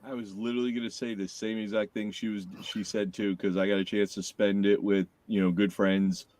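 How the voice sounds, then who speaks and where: Co-host: man from Rhode Island, rambling and animated, close dry mic. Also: lowish voice, a little fast, dry recording. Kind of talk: casual chat.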